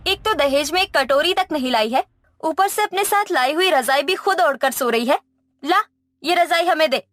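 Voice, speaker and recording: A woman's voice: high voice, expressive, normal speed, a bit bright, no room sound, pitched above the performer's own voice. A woman voicing a greedy, cruel woman who taunts her poor in-law in a Hindi cartoon story. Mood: sneering. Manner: scornful, taunting.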